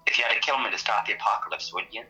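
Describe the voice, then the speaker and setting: A man's voice: lowish voice, racing, pushed and bright, soft background music, poor audio. A man playing a blunt, teasing, pragmatic researcher with a dry delivery, in a naturalistic conversational audio drama. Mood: probing, serious.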